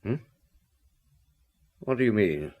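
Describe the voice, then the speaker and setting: An actor in an audio drama playing a shrewd, relentless police inspector, dry, authoritative and probing. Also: male voice, lowish voice, expressive, slow pace, narrow, tinny audio. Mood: dry, measured. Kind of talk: radio drama acting.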